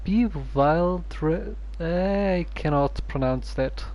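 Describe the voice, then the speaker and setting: A man streaming a game, commenting casually in a halting way and stumbling over words. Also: fairly high pitch, a little mellow, muffled recording.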